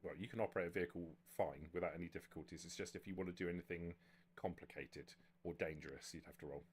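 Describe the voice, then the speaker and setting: A man acting as game master at a tabletop roleplaying game, explaining and narrating in a halting, improvised way. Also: low-pitched, fairly even intonation, slightly rough.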